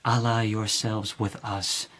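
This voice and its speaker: An actor playing a calculating, authoritative lord of the palace in a radio drama, speaking in a formal, measured, oratorical way. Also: male voice, on the low side, level tone, a bit bright. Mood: persuasive.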